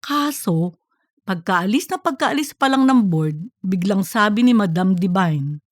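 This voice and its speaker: A woman's voice: mid-range voice, lively intonation, measured pace, no echo, clean studio sound. A woman narrating a fictional story from a script, like an audiobook.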